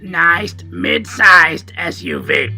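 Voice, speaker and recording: Depraved rat puppet, piercing rasp, wild pitch leaps, brash, scheming. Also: male voice, big pitch swings, extremely slow, faint music behind, exaggerated voice. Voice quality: raspy, gravelly. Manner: brash, sleazy, shouty. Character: depraved, scheming, wheedling dead rat imaginary friend. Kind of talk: comedy puppet show acting.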